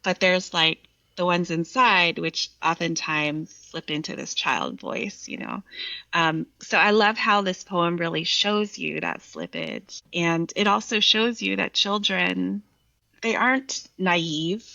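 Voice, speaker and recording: A woman's voice: lowish voice, animated, slow, vocal fry, pushed and bright, no room sound, highs cut off. A woman guest on a poetry podcast talking in a thoughtful, articulate, explanatory way about literature. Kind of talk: reflective literary conversation with some poem reading.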